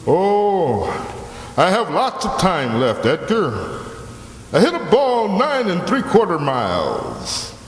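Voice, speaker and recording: Pompous boozy braggart played by a man, slow florid drawl with huge pitch swings, radio comedy. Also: wildly swooping pitch, heavily acted. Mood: boastful. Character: boastful, big-nosed, tippling old-style comic golfer. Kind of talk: old-time radio comedy acting. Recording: echoey hall, noisy background.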